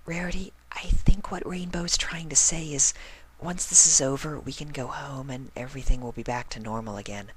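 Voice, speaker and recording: A man voicing a meek, gentle young female pegasus pony in a story reading, soft and whispery. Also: mid-range voice, steady tone, normal speed, slightly rough, voice pushed up, natural voice. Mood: soothing, timid.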